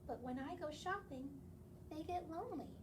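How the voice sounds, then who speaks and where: Woman, high voice, children's story time host. Reads picture books aloud and prompts little listeners. Noisy, distant recording. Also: animated, moderate pace. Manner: addresses young children with questions and encouragement. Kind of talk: children's read-aloud and singalong. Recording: distant mic, clearly noisy background.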